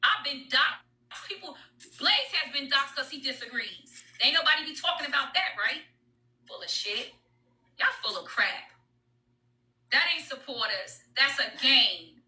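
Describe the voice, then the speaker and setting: High, sharp-voiced woman scolding. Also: lively intonation, roomy sound, rough recording. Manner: blunt, scolding, fast bursts. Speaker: livestreamer. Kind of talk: heated livestream rant.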